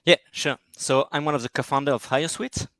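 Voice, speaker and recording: A man's voice: on the high side, steady tone, a little fast, a bit bright, no room sound. French-accented man giving a product demo. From France. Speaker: startup co-founder.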